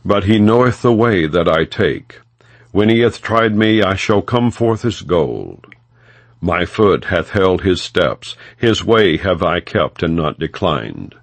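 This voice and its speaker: A man with a low voice reads scripture as an audiobook narrator. His delivery is solemn, formal and unhurried. The recording is close and dry. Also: lively intonation, gravelly, dry recording.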